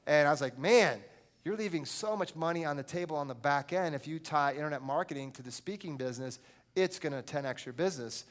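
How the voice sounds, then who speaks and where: A man's voice: on the high side, fairly quick. A man hosting a live event, introducing speakers in an informal way from the stage.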